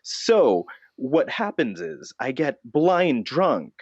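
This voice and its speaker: A man voicing a solemn, deluded vigilante notebook dressed as a bat in an improvised comedy interview, with a weird scary voice and halting, earnest delivery. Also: fairly high pitch, sing-song, creaky, husky, dry recording, dull audio, full character voice. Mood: grave, earnest. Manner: halting, earnest, self-serious.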